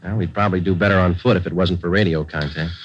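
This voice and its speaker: A man's voice: sits low, fairly even intonation, quick pace, highs cut off, slight background noise, close to an everyday voice. An American man playing a businesslike police detective and narrator in a 1940s radio crime drama, clipped and matter-of-fact. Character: brisk, businesslike male police detective sergeant who narrates.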